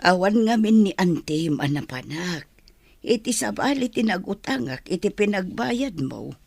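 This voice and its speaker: A woman playing a gentle, caring middle-aged village mother, earnest and motherly, in an audio drama. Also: medium pitch, big pitch swings, measured pace, vocal fry, breathy edge, no echo, heavily acted. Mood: concerned. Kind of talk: radio drama dialogue.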